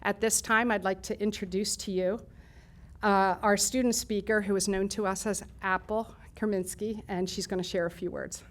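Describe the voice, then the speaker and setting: A woman's voice: medium pitch, steady tone, normal speed. A middle-aged woman leading a college nursing program, giving a formal speech of thanks at a ceremony. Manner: formal, reads lists of thanks with some fillers and restarts.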